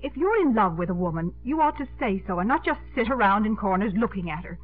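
A woman's voice: medium pitch, expressive, quick pace, lightly acted. A woman acting a poised but coy and easily flustered middle-aged lady in a 1950s radio romantic comedy. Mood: coaxing. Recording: narrow-band 1950s radio, music behind.

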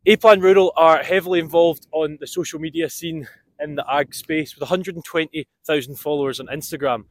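Higher-pitched man reading sponsor message. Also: fairly even intonation, brisk. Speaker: podcast sponsor announcer. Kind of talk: scripted sponsor read.

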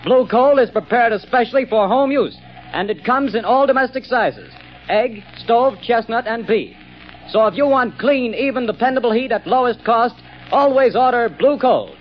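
Male radio announcer, high voice, polished measured sales delivery, 1940s commercial style, dull old recording.

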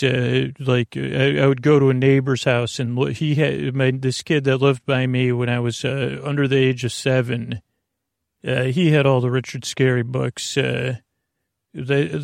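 A man hosting a podcast in a meandering, halting monologue, often trailing off mid-thought with a creaky, gravelly voice. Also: mid-range voice, no room sound, clean studio sound. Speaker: podcast host.

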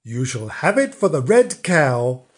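A storybook reader voicing a shrewd trading man who obligingly offers a swap, in an unhurried tone. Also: mid-range voice, big pitch swings, husky.

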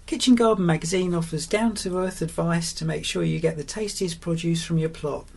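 Female advert voice-over, gravelly and husky, scripted promotional read. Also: lowish voice, fairly even intonation, moderate pace, darkish tone.

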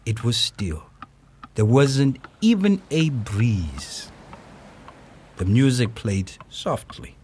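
An actor playing a proud circus troupe director, recounting a high-wire act in an audio play with suspenseful, emphatic pauses. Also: male voice, very animated, a little mellow, slight background noise. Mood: suspenseful, grave. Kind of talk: dramatic first-person storytelling in an audio play.

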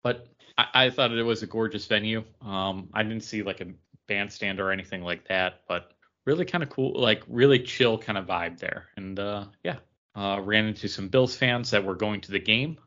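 A man's voice: fairly low pitch, moderate pace, pushed and bright, highs cut off. A man chatting casually as a podcast co-host, telling stories in a rambling, meandering way. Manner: rambling storyteller. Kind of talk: casual chat.

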